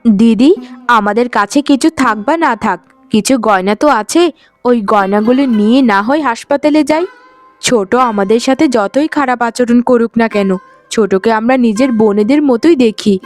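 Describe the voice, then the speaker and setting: A woman as a high-voiced, caring elder wife. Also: moderate pace, faint music behind, lightly acted. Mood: worried. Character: caring, worried elder co-wife, pleading and sisterly. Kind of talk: melodramatic cartoon voice acting.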